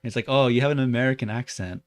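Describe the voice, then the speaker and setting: A young Australian man livestreaming while he works, chatting loosely with lots of "like" and muttering curses at his software. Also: medium pitch, fast talker, no echo. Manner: rambling and loose, heavy on "like", muttering and swearing at the software. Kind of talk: casual livestream chat while 3D modeling.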